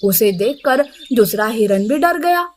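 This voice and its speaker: A woman narrates a scripted cartoon fable about animals. Her voice is high and her pace slow, with faint birdsong and ambience behind her. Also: expressive, slight background noise. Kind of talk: scripted animal moral storytelling.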